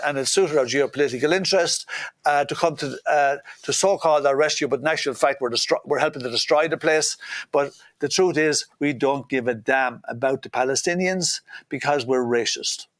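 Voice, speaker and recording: A man's voice: fairly quick, fairly bright tone, dry recording. Blunt, indignant man with a dry sound. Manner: blunt, indignant, many "uh" fillers, mocking quotes in a higher voice. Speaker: guest politician. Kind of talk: impassioned interview answers.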